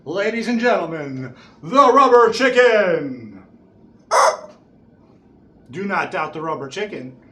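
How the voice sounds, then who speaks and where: A man's voice: sits high, big pitch swings, slow pace, pauses often, fairly bright tone. Middle-aged showman in an echoey room. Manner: theatrical, exclamatory showman. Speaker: juggler and event host. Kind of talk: showman stage patter to an audience. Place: small bare indoor room. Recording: echoey room with background noise.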